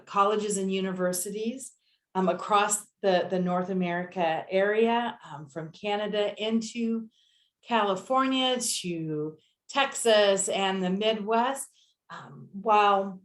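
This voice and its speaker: A woman moderating an online student panel as an admissions director, speaking in a measured way with many "um" pauses. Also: medium pitch. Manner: measured, hesitant with many "um" fillers. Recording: echoey room.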